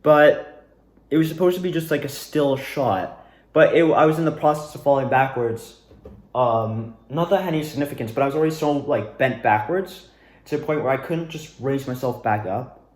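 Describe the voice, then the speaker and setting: A young adult man with a mid-pitched voice talks in a casual, rambling way, as if recounting things to viewers. His delivery is animated and informal, and the sound is roomy and echoey. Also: moderate pace. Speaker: amateur student actor reviewing own performances. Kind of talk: casual rambling recap, self-interview.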